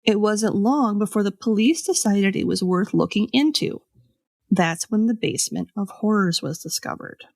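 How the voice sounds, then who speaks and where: A woman podcast host telling a scripted story in a conversational way, with pauses for dramatic effect. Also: mid-range voice, creaky, a little mellow, no room sound, clean studio sound. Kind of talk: scripted storytelling with casual asides.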